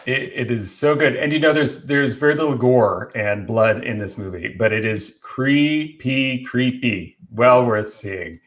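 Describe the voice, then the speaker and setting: A man's voice: medium pitch, unhurried, few pauses, a bit bright. Chatty male podcast co-host. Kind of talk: casual film discussion among friends.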